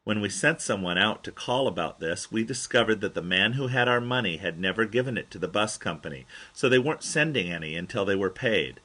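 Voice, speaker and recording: A man narrates a memoir in a fairly low, husky voice with a bright, forceful edge. He reads as the author of the book, an expressive storyteller who gives quoted dialogue its own delivery. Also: fairly quick, hardly any pauses.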